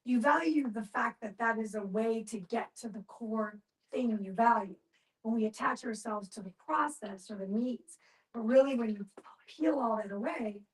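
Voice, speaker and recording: A woman's voice: a little high, far from the mic, poor audio. A woman speaking earnestly in a group discussion, explaining her point with encouraging emphasis.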